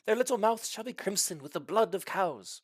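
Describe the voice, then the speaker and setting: A man plays a young male dragon hopelessly in love, in a comic storybook style. The voice is raised high and pushed loud, full of ardent, melodramatic pleading. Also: expressive, normal speed, dry recording, clean studio sound. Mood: earnest pleading.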